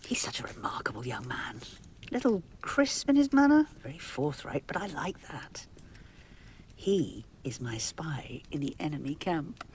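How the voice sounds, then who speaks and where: An actress playing an imperious, gossipy and anxious middle-aged society matriarch in a radio drama, with theatrical, breathy asides. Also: female voice, low voice, very animated, measured pace, a little hiss or hum. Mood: gossipy, amused.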